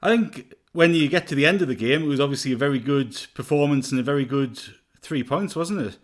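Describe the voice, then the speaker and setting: A man's voice: mid-range voice, a little fast. A man co-hosting a football podcast over a remote call, giving thoughtful, rambling analysis with plenty of fillers. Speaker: podcast co-host. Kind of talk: casual football podcast chat.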